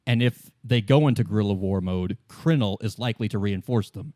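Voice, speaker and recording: A man running a tabletop roleplay as game master, laying things out in measured, deliberate explanatory phrases. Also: fairly low pitch, mellow, dry recording, studio quality.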